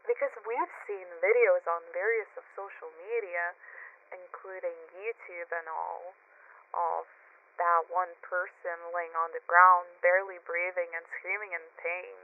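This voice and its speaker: A woman's voice: fairly low pitch, steady tone, measured pace. A woman playing an earnest radio news host reporting on an unfolding crisis in a scripted broadcast. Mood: doubtful, pressing. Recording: muffled lo-fi radio broadcast sound.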